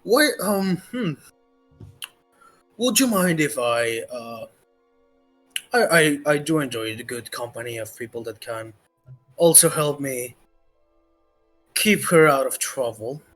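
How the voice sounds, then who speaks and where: A man running a casual tabletop roleplay as game master, talking in a halting way as he thinks aloud. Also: mid-range voice, lively intonation, a bit bright, poor audio. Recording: music playing behind the voice. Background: background music.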